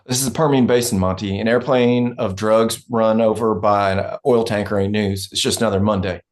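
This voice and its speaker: A man acting a dry, weary oil company fixer in a script read, terse and deadpan. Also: lowish voice, brisk, natural voice. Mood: dry, unruffled. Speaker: actor.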